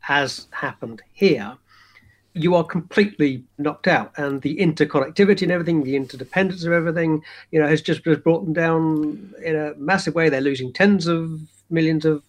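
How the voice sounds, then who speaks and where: Higher-voiced podcast co-host. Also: male voice, fairly quick. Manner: explains at length, many "you know" fillers. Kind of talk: casual podcast chat.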